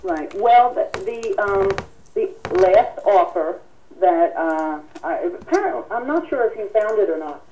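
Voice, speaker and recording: A woman hosting a telephone town hall as a union president, speaking formally and politely with halting delivery while taking callers. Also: mid-range voice, animated, mellow, a little hiss or hum. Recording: distant radio-like telephone conference audio. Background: telephone conference call.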